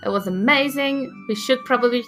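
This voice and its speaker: Bright female game player, gushing casual improv, quiet music underneath. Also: a little high, a little fast. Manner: excitable, gushing.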